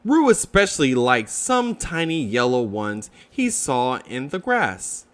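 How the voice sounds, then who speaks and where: A man reading a children's storybook aloud, with expressive, deliberate narration for young listeners. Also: mid-range voice. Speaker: children's book reader.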